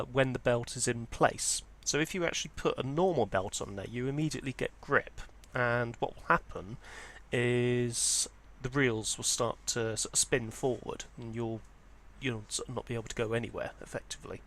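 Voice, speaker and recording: A man's voice: mid-range voice, moderate pace, few pauses, fairly bright tone. A man presenting an informal, unscripted walkthrough as a hobbyist, explaining things in a hesitant, hedging way. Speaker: presenter of a vintage audio hobby channel.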